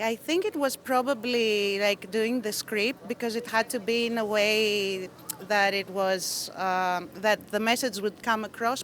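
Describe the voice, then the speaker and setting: A woman's voice: medium pitch, fairly bright tone. A young woman from Greece, an animation filmmaker, answering interview questions in an earnest way. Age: young adult.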